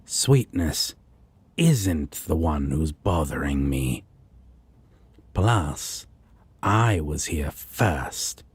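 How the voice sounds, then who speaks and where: Man acting a low, husky, sardonic demon. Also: very animated, no room sound, full character voice. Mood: dry, annoyed. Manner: slow, dry drawl with pet names. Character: sardonic male demon roommate, exasperated yet fond. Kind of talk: audio roleplay acting.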